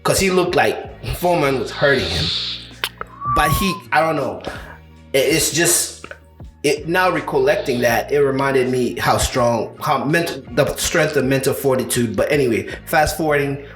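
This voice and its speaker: A man talks fight history in a loose, rambling way, circling back with "you know" and restarting his sentences. His voice sits at an ordinary middle pitch. The room around him echoes noticeably. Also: wildly swooping pitch, moderate pace, a bit bright, faint music behind. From Uganda. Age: 30s. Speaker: co-host of a combat sports show. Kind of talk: casual podcast chat.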